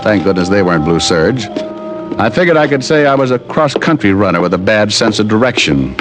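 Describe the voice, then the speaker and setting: A man's voice: on the low side, very animated, fairly quick, loud music behind the voice. An American man playing a wry, hard-boiled private detective who narrates his own case in an old radio drama. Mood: wry narration. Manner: wry, sardonic, flippant. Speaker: lead actor and narrator. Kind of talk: 1940s radio detective acting, first-person narration.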